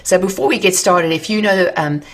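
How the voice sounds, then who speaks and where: A woman's voice: on the low side, lively intonation, fairly quick. A woman hosting an interview, speaking in an upbeat, encouraging way about faith. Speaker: host. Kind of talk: interviewing a guest.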